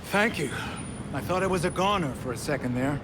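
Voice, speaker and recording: Shaken executive played by a man, male: raised pitch, serious, steadfast, noisy outdoor game scene. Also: animated, a little fast, close to an everyday voice. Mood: shaken, grave. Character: shaken but resolute businessman, grateful and grave. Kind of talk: video game voice acting.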